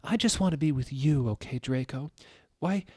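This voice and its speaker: A man's voice: a little high, moderate pace, a little mellow, dry recording, clean studio sound, voice pushed up, lightly acted. A man voicing a melodramatic, vain teenage goth girl in an overwrought, whiny manner for a dramatic fan fiction reading. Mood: self-pitying.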